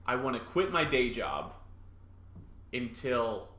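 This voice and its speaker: A man in his thirties hosting a podcast, talking in a halting way with frequent stammers and restarts. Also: mid-range voice, animated, pushed and bright. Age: 30s. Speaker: podcast host. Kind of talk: casual podcast chat. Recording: roomy echo.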